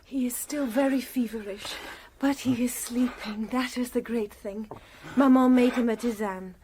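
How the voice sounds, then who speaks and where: An actress playing a gentle, devoted young woman at a sickbed in a radio drama, speaking in a hushed, anxious way. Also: a little high, fairly even intonation, moderate pace, slight background noise, lightly acted. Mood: hushed, concerned.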